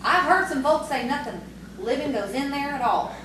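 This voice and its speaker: A woman in an audio theatre play as a sociable, sharp-tongued tavern keeper with a folksy, teasing, scolding manner. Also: high-pitched, expressive, moderate pace. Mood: matter-of-fact. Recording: very echoey, distant, noisy stage recording.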